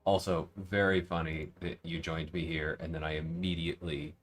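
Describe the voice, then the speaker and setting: A man with a very low-pitched voice talks over a game as a livestream host, with music playing behind him. He rambles casually, thinking out loud and addressing viewers as he goes. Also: little pitch movement, moderate pace. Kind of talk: casual gaming livestream commentary.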